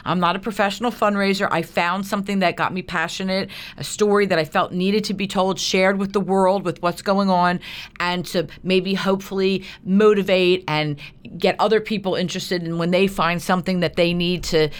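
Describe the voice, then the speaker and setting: A woman with a slightly low voice talks as a guest in an informal interview. She is an animated storyteller who likes to act out what people said. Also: normal speed, smooth. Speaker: interview guest, film producer.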